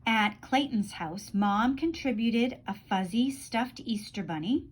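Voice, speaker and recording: Lady reading a kids book out loud, highish voice, expressive storytime style. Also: measured pace, a little hiss or hum, poor audio. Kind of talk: expressive read-aloud storytelling.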